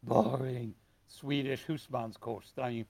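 A man from Detroit chatting casually as a guest, telling stories with animated put-on voices. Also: slow pace, creaky, slightly rough, no echo. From Detroit, USA. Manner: animated storyteller, acts out customers in high voices. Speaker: guest, store owner. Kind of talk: casual chat.

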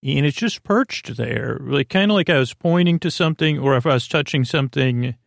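A man with a gravelly, creaky voice hosts a sleep podcast in a lulling ramble. He speaks haltingly at a middling pitch and wanders off into tangents. The sound is close and dry. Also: lively intonation, no echo. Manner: meandering, halting, trails off into tangents; soothing, lulling delivery. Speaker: podcast host. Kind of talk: rambling bedtime podcast monologue.

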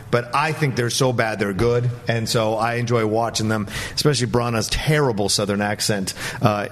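A man chatting casually as a movie show panelist, talking in an enthusiastic, emphatic way. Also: mid-range voice.

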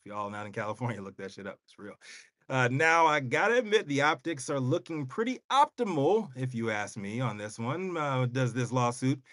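A man's voice: mid-range voice, expressive, normal speed, a bit bright, dry recording. A man co-hosting a talk show, reading a news story with wry asides and casual slang. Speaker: co-host, news segment presenter.

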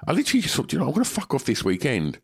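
A man's voice: mid-range voice, huge pitch swings, rapid-fire, gravelly, breathy edge. A man, chatting on a podcast, a bit congested from a cold, rambling and swearing. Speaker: podcast co-host. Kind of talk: casual chat.

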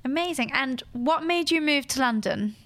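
A woman's voice: high-pitched, animated, normal speed, fairly bright tone. A woman hosting a podcast, introducing the show and interviewing a guest. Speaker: podcast host.

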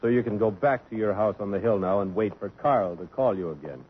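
A man's voice: on the low side, a little fast, lightly acted. An actor playing a blunt, sardonic young man in a 1950s radio drama. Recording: dull old radio broadcast, faint hiss.

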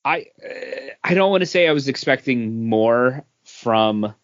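A man's voice: mid-range voice, lively intonation, measured pace, dry recording. A man speaking as a podcast co-host in casual, informal review-style chat. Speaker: podcast co-host, works at a beer store. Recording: dull, lo-fi podcast audio.

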